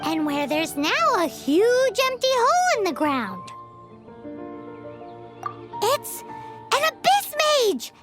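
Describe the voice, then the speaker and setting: A woman voicing a tiny, cheeky, excitable floating fairy girl in a video game, speaking of herself in the third person. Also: very high voice, takes it slowly, pauses often, heavily acted. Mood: skeptical. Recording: game music behind.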